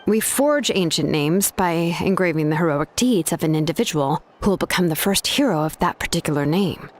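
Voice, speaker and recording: An actress playing a young master craftswoman in a video game, blunt and pragmatic, speaking with measured, hesitant exasperation. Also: low-pitched. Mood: explanatory, grave. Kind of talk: video game character dialogue. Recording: music behind the voice.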